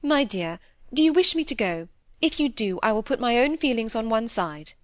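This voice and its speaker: Fussy genteel mother played by a woman reader, pitched high, plaintive, self-pitying, audiobook fiction. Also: lively intonation, moderate pace, no room sound, clean studio sound, close to an everyday voice. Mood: martyred. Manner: plaintive, ostentatiously sentimental.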